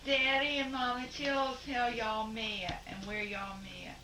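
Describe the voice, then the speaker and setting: A woman interviewing her parents at home in a brisk, coaxing way. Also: on the high side, flat delivery, bright, forceful tone. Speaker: interviewer, family member. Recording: echoey room, distant mic, noisy home video.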